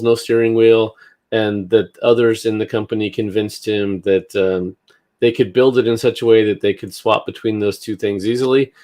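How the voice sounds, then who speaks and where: A man's voice: lowish voice, darkish tone. A man hosting a casual news discussion, talking in a hesitant, think-aloud way. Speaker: talk show host.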